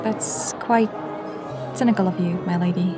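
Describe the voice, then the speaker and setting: A woman's voice: fairly low pitch, steady tone, normal speed, close to an everyday voice. A woman playing a courteous, guarded young woman in an audio drama, speaking formally and a little hesitantly. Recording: music and crowd murmur behind.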